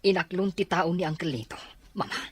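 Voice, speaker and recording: A man's voice: high-pitched, brisk, husky. A man with an Ilocano accent playing a determined young son bent on avenging his father in an audio drama. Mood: resolute. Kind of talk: Ilocano radio-style drama acting.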